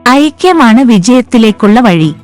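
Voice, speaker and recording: Higher-voiced woman narrating children's stories over music. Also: lively intonation, measured pace, darkish tone. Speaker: story narrator. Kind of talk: scripted children's moral story narration. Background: background music.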